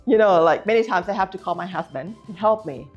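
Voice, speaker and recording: A woman's voice: mid-range voice, brisk, soft background music. Thai-accented woman on a dull recording.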